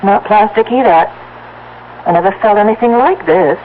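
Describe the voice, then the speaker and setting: An actress playing a practical, skeptical farm wife with a dry, doubting manner in a 1970s radio drama. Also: female voice, medium pitch, moderate pace, lightly acted. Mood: curious, dry. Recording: tinny, band-limited old radio broadcast, noisy.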